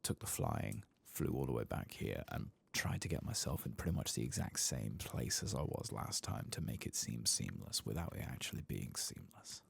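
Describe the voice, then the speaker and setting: A man giving soft-spoken ASMR commentary over video game play, hushed and at times slipping into a whisper close to the mic. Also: very deep voice, big pitch swings, moderate pace, vocal fry, hardly any pauses, slightly rough, no echo. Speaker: host of a gameplay channel.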